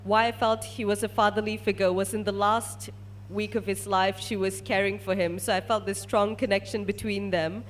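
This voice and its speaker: A woman's voice: medium pitch, flat delivery, normal speed, a little hiss or hum. A woman from Malaysia, a research scientist, telling a personal story to an audience as a testimonial.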